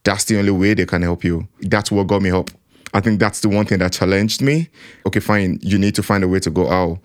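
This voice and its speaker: Low-voiced guy telling his story in an interview, acts out his inner dialogue. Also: fairly even intonation, a little fast. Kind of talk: personal account in conversation.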